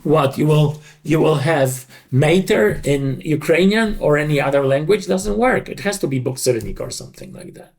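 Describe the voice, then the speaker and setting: Middle-aged man, creaky voice, explaining emphatically. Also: on the high side, animated, normal speed, few pauses, a little mellow. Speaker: interview guest, dubbing creative director. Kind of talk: conversational interview answers.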